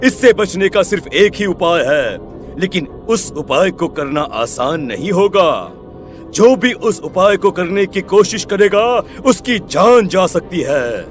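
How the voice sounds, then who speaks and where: A man playing a foreboding occult holy man in a horror cartoon, speaking in a grave, measured way. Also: high-pitched, sing-song, soft background music, heavily acted. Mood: grave warning.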